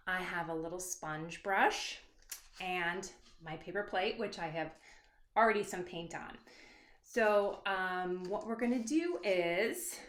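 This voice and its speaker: A woman giving a casual, encouraging, unscripted step-by-step craft tutorial for children. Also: medium pitch. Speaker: children's author leading a craft demonstration. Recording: roomy echo, faint background noise.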